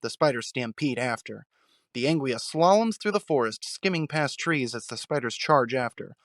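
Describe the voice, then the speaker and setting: A man delivering dramatic scripted narration with suspenseful, deliberate phrasing. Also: medium pitch, lively intonation, no echo, studio quality.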